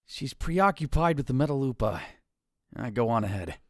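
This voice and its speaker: A man's voice: mid-range voice, animated, normal speed, dry recording, clean studio sound, lightly acted. A man playing a theatrical, hot-headed young self-styled mad scientist in an anime visual novel dub, with grandiose delivery. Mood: brooding. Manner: dramatic, grandiose outbursts. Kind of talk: anime visual novel fan dub, first-person narration.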